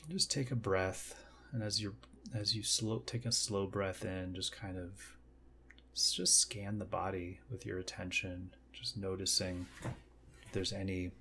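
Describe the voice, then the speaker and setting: A man leading a guided meditation, speaking gently and unhurriedly in short phrases with long pauses. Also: deep voice, level tone, breathy edge.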